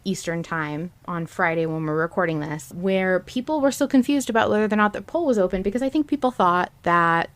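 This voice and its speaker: A woman's voice: lowish voice, fairly quick, hardly any pauses. A woman hosting a podcast, chatting casually and emphatically, with the odd swear word. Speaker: podcast host.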